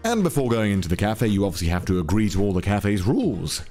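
A man's voice: lowish voice, sing-song, fast talker, warm tone, soft background music. A young man reading a wry, scripted voice-over for a vlog. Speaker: video narrator.

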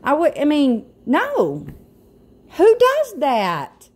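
A woman's voice: sits high, wildly swooping pitch, drawn-out words. A woman with a Southern US accent giving casual, emphatic and incredulous opinion commentary to her viewers. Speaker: YouTube commentator.

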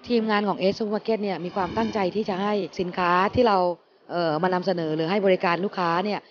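A middle-aged woman, a company managing director, answering interview questions in a measured way with frequent hesitations. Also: mid-range voice, highs cut off. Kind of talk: on-camera interview. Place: inside a supermarket. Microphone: handheld mic.

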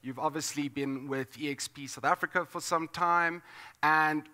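Male host, 30s, slightly high, bright voice, upbeat and filler-heavy. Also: steady tone, moderate pace. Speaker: show host. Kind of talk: hosted panel chat.

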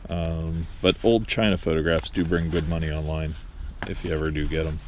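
A man's voice: extremely low pitch, level tone, moderate pace. A middle-aged man thinking aloud as he sorts through a cluttered storage room, filmed on a body-worn camera. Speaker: flea market reseller. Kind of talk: casual narration while sorting. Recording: noisy handheld camera audio.

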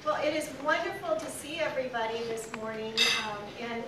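Booming high-voiced woman in an echoey room. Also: a little fast. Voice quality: booming projected voice. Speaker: program presenter. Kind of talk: public speech to a breakfast audience. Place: large restaurant dining room at a breakfast event. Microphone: far camera in the audience. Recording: very echoey, distant mic, loud room noise.

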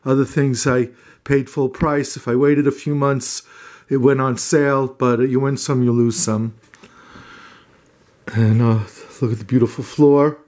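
A man's voice: medium pitch, level tone, measured pace, darkish tone. A man giving a casual walking tour of his small renovated cottage, speaking hesitantly with many fillers and restarts, recorded on a handheld camera indoors. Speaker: homeowner giving a house tour.

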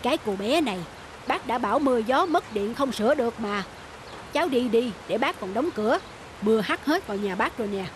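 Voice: mid-range voice, expressive, fairly quick, heavy background noise, close to an everyday voice. An actor voicing a grudging, dismissive middle-aged male bicycle repairman in a cartoon. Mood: reluctant. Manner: grumbling, dismissive. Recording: music and rain under the voice.

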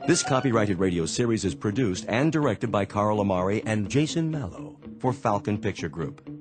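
A man's voice: on the low side, normal speed. Formal male announcer over music. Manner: steady, formal. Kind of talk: reading credits. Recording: music underneath.

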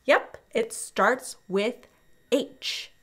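A young woman hosting a children's storytime, speaking with animated, exaggerated enthusiasm and deliberate enunciation as she teaches. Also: on the high side, slightly rough. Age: young adult. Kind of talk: children's educational presentation.

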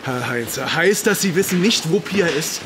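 Insistent male investigative journalist, high-pitched for a man, probing, audio drama. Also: expressive, a little slow, over music. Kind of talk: naturalistic audio drama acting.

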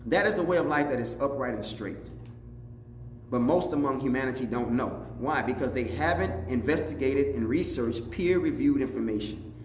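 Echoey middle-aged man preaching deliberately. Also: mid-range voice. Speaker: imam. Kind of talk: religious public address, teaching. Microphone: lapel mic. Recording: echoey room, reverb, faint background noise.

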